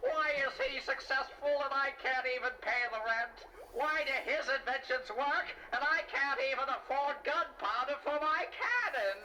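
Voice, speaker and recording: A man voicing a scheming, hot-tempered little villain in a children's audio storybook, ranting in a shrill, whiny way. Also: normal speed, few pauses, pushed and bright, exaggerated voice. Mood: exasperated, whining. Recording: noisy, distant old cassette transfer.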